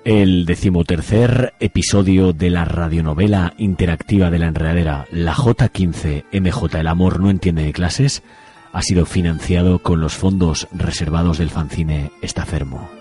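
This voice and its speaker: A man's voice: sits low, normal speed, mellow. A man reading scripted announcements as the narrator of an interactive radio serial. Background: music.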